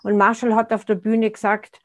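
A woman's voice: medium pitch, level tone, normal speed. A woman, speaking as an interview guest in a reflective, conversational way and often restarting her sentences as she searches for words. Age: 60s.

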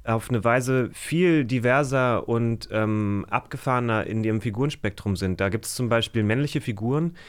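Clear, smooth-voiced man chatting. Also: medium pitch, normal speed, hardly any pauses. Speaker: podcast guest. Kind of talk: casual discussion.

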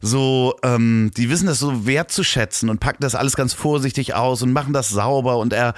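A man's voice: medium pitch, fairly quick, dry recording. A man with a Hamburg German accent chatting casually as a podcast co-host, slurring the odd word. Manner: slurs some words. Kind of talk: casual chat.

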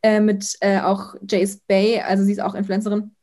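A young woman, an influencer, chatting casually in an interview, outgoing and talking in quick bursts with many fillers. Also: medium pitch, completely flat delivery, darkish tone. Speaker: influencer guest.

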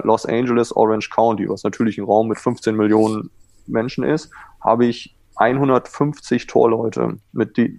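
A man's voice: fairly low pitch, gravelly, a little mellow, dry recording. A man who coaches goalkeepers, explaining things in a self-assured, conversational way as an interview guest. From Germany. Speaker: water polo goalkeeper coach, former Olympian.

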